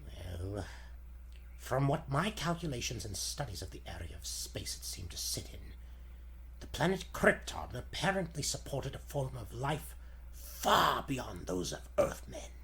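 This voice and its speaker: A man playing a feeble, elderly, excitable scientist with a grandiose, formal delivery in a 1940s radio serial style. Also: mid-range voice, unhurried, gravelly, a little hiss or hum, full character voice. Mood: grave, secretive. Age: older.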